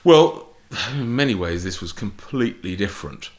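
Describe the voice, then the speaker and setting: English guy, low voice that swoops around a lot, explains things in short clipped bits. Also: lively intonation, normal speed. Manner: precise, explanatory, lists facts in short clipped phrases. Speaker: port wine author. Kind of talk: interview answers.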